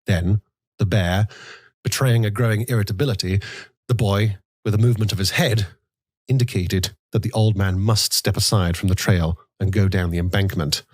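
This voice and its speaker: Husky male audiobook narrator, dry sound. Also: on the low side, fairly even intonation, normal speed, dry recording. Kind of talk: literary scripted narration.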